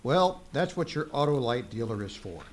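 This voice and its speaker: An actor playing a friendly 1940s radio pitchman, reading a scripted advertisement in a folksy, conversational, salesmanlike way. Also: very animated, normal speed. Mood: folksy, upbeat.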